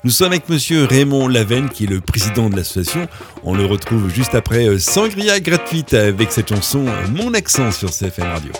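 A male radio host with a very animated voice that climbs and dips as he introduces guests and asks questions. Faint music plays underneath him in the studio. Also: medium pitch, moderate pace. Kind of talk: radio announcing and interviewing.

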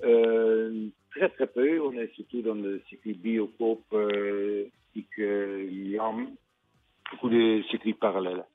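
An older man farmer from the Netherlands with a Dutch accent, speaking plainly and emphatically in a radio interview, pausing often with fillers. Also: lowish voice, fairly even intonation, faint music behind. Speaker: fruit farmer. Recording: tinny phone-like radio line.

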